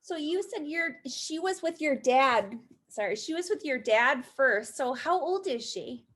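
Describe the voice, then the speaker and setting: A woman in her forties hosting a podcast, asking questions in a relaxed way. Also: high-pitched, unhurried. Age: 40s. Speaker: podcast host. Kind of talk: casual podcast interview.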